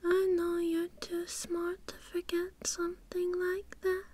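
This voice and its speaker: Shy, cutesy British girlfriend for ASMR roleplay: extremely high, very slow, soft and whispery. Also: completely flat delivery. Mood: timid, soft. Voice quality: soft, breathy, often whispery. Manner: timid, cutesy, hesitant. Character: shy, childlike, sweet submissive young girlfriend. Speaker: audio roleplay performer.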